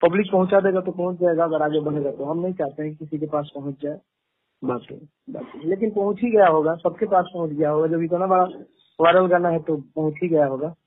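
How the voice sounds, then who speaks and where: Male singer interviewee, Bhojpuri accent, high-pitched voice, defensive and repetitive, on a tinny phone call. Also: fast talker, no room sound. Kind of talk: phone interview, informal conversational answers. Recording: tinny, muffled phone line.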